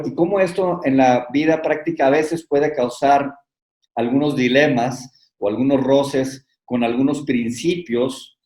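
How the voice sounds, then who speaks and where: A man hosting an interview, introducing the topic in a measured way and listing points with pauses, speaking over a video call. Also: medium pitch, a little mellow. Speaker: host. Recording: echoey room.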